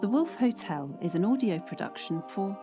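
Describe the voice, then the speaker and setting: A woman's voice: mid-range voice, lively intonation, normal speed. A woman reading a scripted announcement as the credits announcer of an audio production. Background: music.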